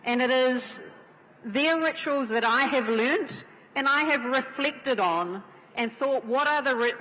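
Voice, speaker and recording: A woman with a New Zealand accent speaks slowly and earnestly on a conference panel. Her voice sits a little high. Long reverb from a large hall trails every phrase. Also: pushed and bright, slight background noise. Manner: measured, earnest, drawn-out delivery. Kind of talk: formal speech on a panel.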